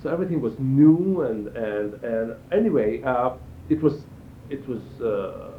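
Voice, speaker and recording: An older man from Israel giving reflective interview answers, haltingly, repeating phrases as he searches for words. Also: expressive. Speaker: interviewee, cello teacher and performer. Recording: echoey, tinny band-limited sound with faint rumble.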